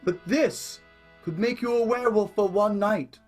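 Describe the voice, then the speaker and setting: An improv performer playing a deliberate seller of magical ice cream in a radio soap. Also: mid-range voice, a little mellow, faint music behind, close to an everyday voice. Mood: tempting, ominous. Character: someone offering magical ice cream that causes lycanthropy.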